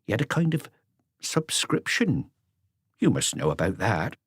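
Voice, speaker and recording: A man's voice: on the low side, wildly swooping pitch, unhurried, breathy edge, no echo, clean studio sound, heavily acted. A man voicing a wary, proud elderly mother with muttered, defiant retorts in an audiobook. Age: older. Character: sharp-tongued elderly mother, wary, proud of her son. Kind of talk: audiobook character voice.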